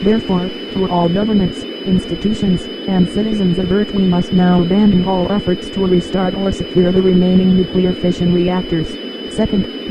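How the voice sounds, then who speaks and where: A man's voice: high voice, background noise, natural voice. A man playing a grave scientific official delivering a formal scripted emergency address to the world. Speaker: official emergency message reader.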